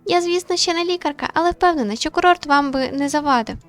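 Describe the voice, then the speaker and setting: A woman voicing a chatty, eager, insistent young woman in a visual novel, with animated, friendly delivery. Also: high voice, fast talker, soft background music, voice pushed up, close to an everyday voice. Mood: insistent, cheerful.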